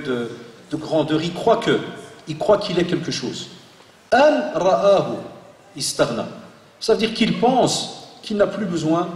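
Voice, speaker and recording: A man in his forties, born in Belgium, giving a lively religious talk to an audience and joking with the crowd. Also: on the high side, a little hiss or hum. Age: 40s. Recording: echoey hall, voice from far off.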